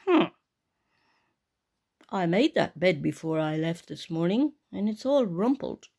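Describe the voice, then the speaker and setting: A big storybook father bear, put on by a woman storyteller. The voice drops low and gruff, with a creaky edge and a slow, grave, puzzled delivery. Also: very animated, mellow, heavily acted. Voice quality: lowered, gruff.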